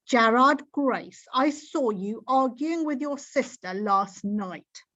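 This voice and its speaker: A woman's voice: a little high, expressive, slow pace, a bit bright, recorded from a distance, dull audio. Woman acting a stern, angry mother. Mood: stern, accusing. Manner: stern, accusing. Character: angry, stern mother scolding her children.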